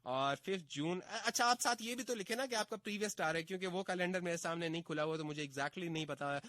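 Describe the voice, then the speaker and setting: A man from Pakistan hosting a casual radio show, reading out listener names and messages with a slightly husky on-air sound. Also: sits high, dry recording. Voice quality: sounds hoarse on air though he says his throat is fine. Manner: chatty, warm greetings, drawn-out filler pauses. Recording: FM radio broadcast with faint music bed.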